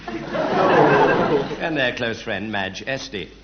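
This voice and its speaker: Echoey male panellist with lively pitch. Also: drawn-out words, over a noisy crowd. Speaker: comedy panellist. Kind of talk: theatrical radio pun announcements. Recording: muffled, echoey, very noisy live recording. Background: loud studio audience laughter.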